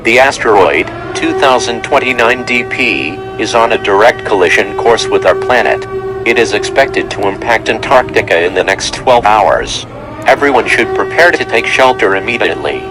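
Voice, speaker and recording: A man playing a grave emergency broadcast announcer, reading formal, measured warnings in a scripted alert. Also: fairly low pitch, expressive, music in the background, natural voice. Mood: grave, urgent. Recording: very noisy emergency broadcast audio.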